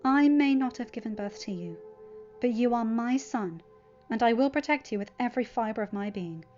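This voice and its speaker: A woman's voice: on the high side, lively intonation, normal speed, darkish tone, dull audio, faint music behind, natural voice. A woman voicing a formidable, loving mother, firm and earnest, in a story reading. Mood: tender, resolute.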